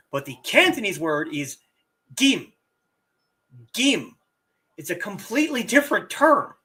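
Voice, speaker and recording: A middle-aged martial arts teacher chatting casually on a live stream, reading viewer comments and sounding out words with animated emphasis. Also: medium pitch. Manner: animated, drawn-out demonstrations of word sounds.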